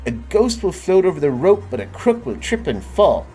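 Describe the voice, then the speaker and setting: A man's voice: high-pitched, sing-song, moderate pace, mellow, husky, soft background music, voice pushed up, heavily acted. A man voicing Jack, a clever, bold young boy who leads his friends in a children's storybook, sounding eager and a bit bossy.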